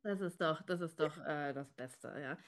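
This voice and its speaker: A woman's voice: low voice, normal speed. A woman hosting a casual podcast interview, chatty, with many fillers and restarts, and slightly congested from a cold. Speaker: podcast host.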